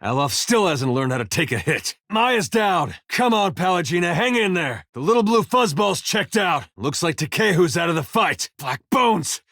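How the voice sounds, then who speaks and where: Easygoing farmer adventurer, animated pitch, folksy, dry humor, fantasy game companion, close dry sound. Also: male voice, a little fast, few pauses, no echo. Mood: anguished, urgent. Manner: folksy, drily humorous, informal. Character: easygoing wry adventuring farmer and loyal fighter, adult man. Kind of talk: video game voice lines.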